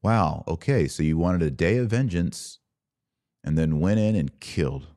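A low-pitched male commentator delivers an emphatic solo monologue. His intonation rises and falls widely, with pointed rhetorical questions and weighty pauses. The sound is close and dry. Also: expressive, dark tone, dry recording. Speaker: podcast host and commentator.

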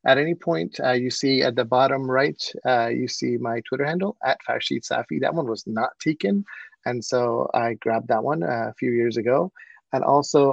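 A man's voice: medium pitch, gravelly, no room sound. Man presenting on a lo-fi video call. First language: Persian. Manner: earnest, with frequent um and uh fillers. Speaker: presenter, mathematics educator. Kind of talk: webinar presentation. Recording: dull, lo-fi video call audio.

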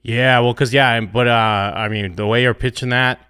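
A man pitching story ideas in a casual chat, animated and halting with many fillers. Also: smooth, no room sound, studio quality. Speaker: co-writer brainstorming a story. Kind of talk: casual creative brainstorming chat.

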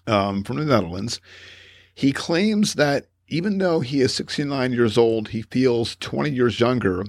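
A man's voice: medium pitch, no room sound, studio quality. A man hosting a casual podcast, rambling with many fillers and self-corrections and laughing often as he talks. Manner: laughs often while telling stories. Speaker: podcast host.

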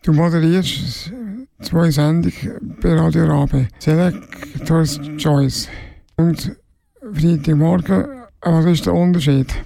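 Creaky, higher-voiced male interviewer. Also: steady tone, takes it slowly, warm tone, poor audio. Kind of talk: simple prepared interview questions.

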